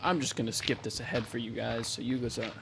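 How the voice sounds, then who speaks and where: A man's voice: medium pitch, steady tone, fast, vocal fry, background noise. A man giving casual gameplay commentary, thinking aloud as he goes, often restarting and correcting himself. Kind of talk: casual let's-play commentary.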